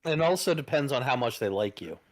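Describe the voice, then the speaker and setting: A man in easy conversation, blunt and a bit crude, tripping into restarts before he lands his point. His voice sits at an ordinary middle pitch. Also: expressive, fairly quick. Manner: blunt, swears, trails into restarts. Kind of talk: casual chat.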